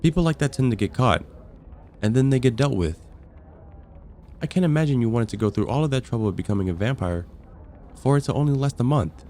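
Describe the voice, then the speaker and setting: A man playing a friendly, patient vampire caseworker in an ASMR roleplay, explaining things calmly with gentle dry wit. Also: mid-range voice, brisk, pauses often, a little hiss or hum, close to an everyday voice. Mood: matter-of-fact, wry. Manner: calm, explanatory, gently wry. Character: friendly, patient vampire caseworker onboarding a newcomer, dryly amused. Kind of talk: ASMR roleplay, conversational acting.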